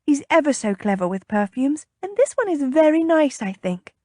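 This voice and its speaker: A woman's voice: sits high, animated, unhurried, darkish tone, no room sound, clean studio sound, voice pushed up. A woman voicing a chatty, impulsive young noblewoman in an audiobook, with a bubbly, coaxing, inquisitive manner. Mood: bright, enticing. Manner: bubbly, coaxing, earnest, prying.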